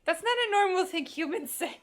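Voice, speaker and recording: A woman's voice: very high-pitched, brisk, slight background noise. A woman hosting a gaming video, giving casual, joking, teasing commentary as she plays. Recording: faint game music behind.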